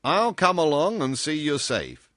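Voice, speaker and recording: A man's voice: on the high side, wildly swooping pitch, slow, a bit bright, dry recording, clean studio sound, pitched above the performer's own voice, exaggerated voice. A man voicing a brusque, commanding businessman who speaks in blunt, emphatic orders but means kindly. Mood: matter-of-fact.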